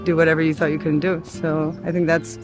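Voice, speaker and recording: A woman's voice: low-pitched, flat delivery, a little fast, music in the background. A woman from France, a DJ and singer, talking easily in a casual interview and laughing often. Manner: easygoing, chatty, laughs often. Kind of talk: casual chat.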